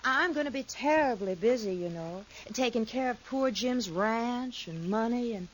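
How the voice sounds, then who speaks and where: Actress as a vain, scheming frontier widow, coy then scornful, old radio play. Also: female voice, fairly high pitch, animated, normal speed, a little hiss or hum, lightly acted, rough recording. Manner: coy and sweet, turning sharp and scornful. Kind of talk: 1950s radio drama acting.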